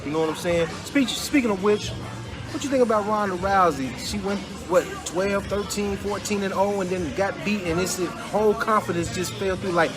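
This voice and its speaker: A man with a fairly high voice hosts a loose, friendly sit-down interview. He chats casually, joking and nodding along as his guest talks. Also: normal speed, over music. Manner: laid-back, joking, agreeable. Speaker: interview host.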